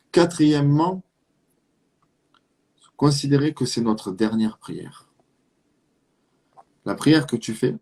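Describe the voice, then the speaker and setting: Husky-voiced Marseille man preaching earnestly. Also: medium pitch, darkish tone. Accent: southern French (Marseille). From France (Marseille). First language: French. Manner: earnest, exhortative, rhetorical questions and repetition. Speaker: Islamic preacher. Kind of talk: religious talk addressing the listener directly.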